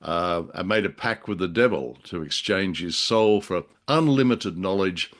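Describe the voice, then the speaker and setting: A man co-hosting a conversational discussion, speaking in halting, wordy phrases. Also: low voice, expressive, a bit bright. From Australia. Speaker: podcast co-host. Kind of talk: conversational discussion of classic radio drama.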